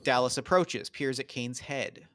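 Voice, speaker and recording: A man's voice: mid-range voice, a little slow, fairly bright tone, no room sound, studio quality. A man doing scripted narration, reading out the stage directions of a screenplay at a table read.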